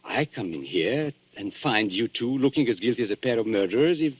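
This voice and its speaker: Suave, menacing antique dealer, swooping intonation. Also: male voice, lowish voice, lively intonation, a little fast. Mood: sardonic politeness. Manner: smooth, formal, sardonic, leisurely politeness. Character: suave, menacing male antique dealer toying with guilty visitors. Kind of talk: 1940s radio thriller acting. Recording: dull 1940s radio broadcast.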